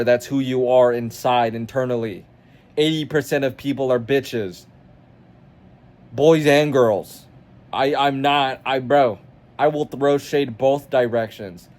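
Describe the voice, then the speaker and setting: A man's voice: mid-range voice, unhurried, darkish tone. Blunt, profane nineteen-year-old man. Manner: blunt, profane, confrontational, addressing the viewer directly. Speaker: self-improvement vlogger. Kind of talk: motivational rant to camera.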